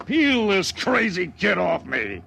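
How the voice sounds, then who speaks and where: Hard-nosed, contemptuous army officer, fairly high voice, commanding, big pitch swings, old radio drama. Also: male voice, huge pitch swings, takes it slowly, vocal fry, full character voice. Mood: exasperated. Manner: barking, commanding. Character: brusque, contemptuous military officer rounding up Martians. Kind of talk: 1950s radio drama acting. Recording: noisy vintage radio recording.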